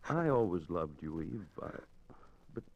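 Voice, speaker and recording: A middle-aged condemned husband in an old 1950s radio play, with a deep voice. He speaks haltingly and gently, resigned to his fate and tender toward the woman he loves. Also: very animated, highs cut off, full character voice. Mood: tender, sorrowful. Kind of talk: 1950s radio drama acting.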